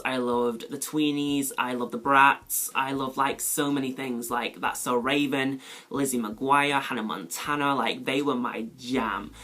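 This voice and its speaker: A young man with a Mancunian accent, talking in a mid-pitched voice. He rambles chattily, filling gaps with "like" and "you know", and his voice jumps high when he exclaims. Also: fairly bright tone. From England. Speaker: beauty vlogger. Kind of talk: casual chat answering a question tag.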